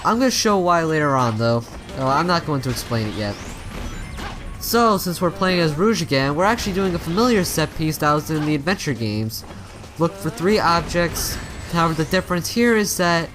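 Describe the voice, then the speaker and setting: A man's voice: fairly high pitch, fairly bright tone. Man rambling through game commentary over music. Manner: rambling, stumbling over words and rephrasing. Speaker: let's play host. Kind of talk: casual video game commentary.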